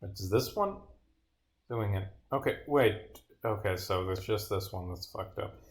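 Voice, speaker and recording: A man's voice: on the low side, expressive, unhurried. A man who develops games, talking casually on a live stream, often swearing and muttering to himself.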